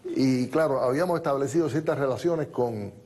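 A man's voice: mid-range voice, a little fast. Man telling a story in a TV studio. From born in the United States, raised in Cuba. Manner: steady storytelling, recounting events in detail. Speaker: interview guest. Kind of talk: studio TV interview. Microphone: lapel mic.

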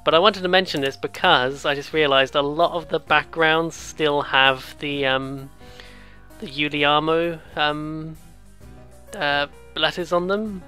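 Bright-voiced, excitable let's player. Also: mid-range voice, unhurried, clean tone, faint music behind. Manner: rambling, excitable, jokey asides. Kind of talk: casual game commentary, reading on-screen text aloud.

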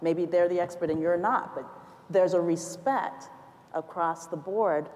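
Woman, middle-aged, earnest panelist, lower voice, long hall reverb. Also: unhurried, darkish tone. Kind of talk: panel discussion. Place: stage in a large auditorium. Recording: reverberant auditorium sound.

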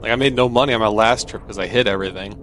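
A man's voice: on the low side, racing, fairly bright tone, slight background noise. A man giving casual game commentary, thinking aloud in short phrases. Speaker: gameplay streamer.

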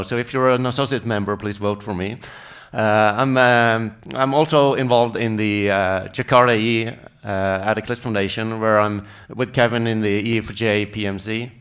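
Man, low smooth voice, halting speaker on a tech stage. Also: a bit bright. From Norway. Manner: hesitant, many filler sounds. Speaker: conference speaker. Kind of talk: self-introduction at a tech talk. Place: large conference hall stage.

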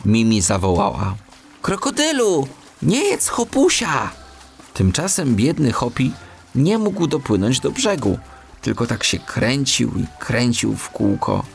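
A man narrating a children's audiobook in an expressive storytelling style. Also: medium pitch, a little slow, creaky, soft background music.

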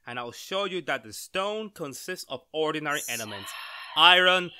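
A man playing an excitable, skeptical scientist in a retro radio drama, melodramatic and halting, increasingly astounded. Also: a little high, clean tone. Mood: absorbed, curious.

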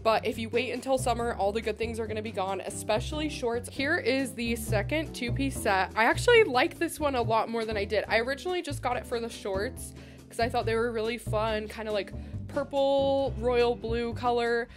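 A woman's voice: a little high, normal speed. Bubbly young woman vlogging a thrift haul. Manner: bubbly, chatty, lots of "like" fillers. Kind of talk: casual try-on haul chat. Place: bright indoor room with shelf backdrop. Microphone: handheld recorder. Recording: background music under voice. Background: background music.

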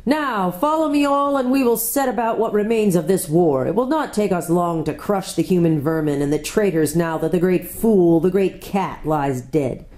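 A woman's voice: fairly low pitch, normal speed, few pauses, a little mellow, natural voice. A woman voicing a cruel witch queen who taunts her captive in a quivering, passionate voice. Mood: commanding.

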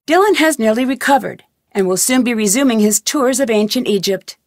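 A woman's voice: medium pitch, no echo, studio quality, lightly acted. An actress voicing a wry young woman detective in scripted game narration.

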